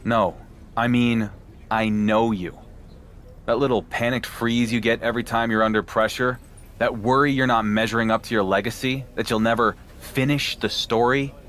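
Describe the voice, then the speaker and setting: A man's voice: mid-range voice, fairly even intonation, normal speed, a bit bright, close to an everyday voice. A man playing a cocky, defiant champion wrestler in a video game, confident and pointed in his talk. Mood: cocky, needling. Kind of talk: dramatic video game dialogue. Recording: faint background noise.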